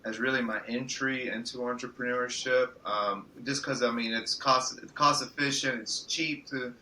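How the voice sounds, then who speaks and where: A man's voice: medium pitch, steady tone, moderate pace, pushed and bright, slight background noise, poor audio. A man born in Mexico and raised in Mississippi, a guest on a podcast, talking about his journey in an earnest, reflective way. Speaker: guest entrepreneur. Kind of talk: podcast interview.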